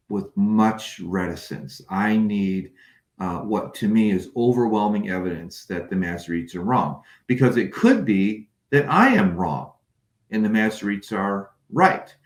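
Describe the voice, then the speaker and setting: Male academic guest, native English speaker, low register, careful and precise delivery, echoey room. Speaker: Hebrew scholar, interview guest. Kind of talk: scholarly explanation, sometimes reading aloud. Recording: echoey, roomy sound.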